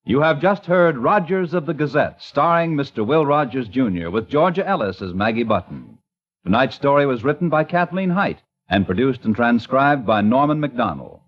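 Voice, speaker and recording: A male announcer reads the closing credits in formal 1950s style. His pitch moves a lot as he speaks. The old radio recording sounds dull. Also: very animated, moderate pace.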